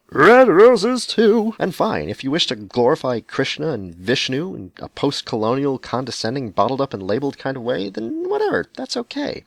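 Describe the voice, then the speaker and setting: A man recites beat poetry with a sardonic, emphatic edge. His pitch moves around expressively and often drops into a crackly fry. The recording is close and dry, and he swears freely as he tells the story in rhyme. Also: no echo. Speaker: podcast host.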